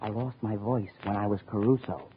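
An actor playing a crazy, earnest eccentric who confides absurd claims, in 1940s radio comedy style on an old recording. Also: male voice, fairly low pitch, steady tone, a little fast, muffled recording, a little hiss or hum, close to an everyday voice. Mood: confiding. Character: crazy escaped lunatic posing as a nurse, eccentric.

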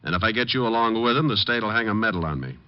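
Actor playing a low-voiced wisecracking private eye. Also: male voice, animated, racing, no room sound, lightly acted. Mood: menacing. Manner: sardonic, dry wisecracks. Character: suave, quick-witted, wisecracking tough male private detective. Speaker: lead actor and first-person narrator. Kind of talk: 1950s radio drama acting. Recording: dull old radio recording.

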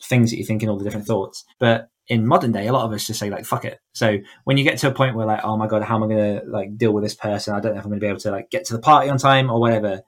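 Man, lowish voice, casual interview chat, podcast guest, enthusiastic and effusive delivery. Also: steady tone, rapid-fire, few pauses. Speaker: podcast guest, horror author and coach.

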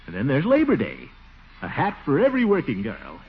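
A man's voice: medium pitch, huge pitch swings, brisk, vocal fry, husky, heavily acted. An American actor playing a pompous, blustering middle-aged businessman in a 1940s radio comedy, with theatrical exclamations and chuckles. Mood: boastful, enthusiastic. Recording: muffled vintage 1940s radio broadcast.

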